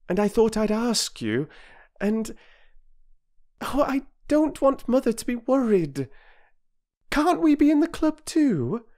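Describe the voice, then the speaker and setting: An earnest young girl pipes up with high, pleading questions, as a man puts her on. Her voice leaps about restlessly, and she speaks in a storybook reading. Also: warm tone. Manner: eager, pleading, rising questions.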